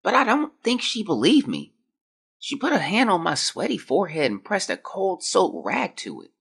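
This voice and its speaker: An actor playing a sick, frightened young child reading out a diary in a plaintive, hesitant, husky voice. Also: medium pitch, huge pitch swings, moderate pace, heavily acted. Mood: anxious, confused. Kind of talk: dramatic diary monologue.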